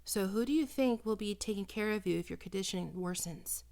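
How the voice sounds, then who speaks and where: A woman acting in an ASMR roleplay as a doting, possessive vampire posing as a small-town doctor, coaxing and teasing, often dropping into breathy whispers. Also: medium pitch, brisk, a little mellow, natural voice. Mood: concerned, chiding. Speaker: doctor character in audio roleplay.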